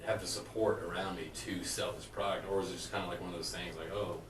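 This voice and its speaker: A man's voice: deep voice, level tone, fast, reverberant, distant mic, noisy background. A man, hosting a podcast in loose, joking casual chat and telling stories with casual swearing. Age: 40s. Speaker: podcast host.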